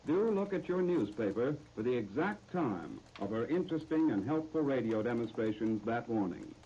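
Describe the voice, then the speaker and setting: Man, American accent, 1930s radio announcer, scripted sales copy, formal, mellow, medium pitch, dull old disc recording. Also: breathy edge. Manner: formal, persuasive salesman phrasing. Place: radio studio.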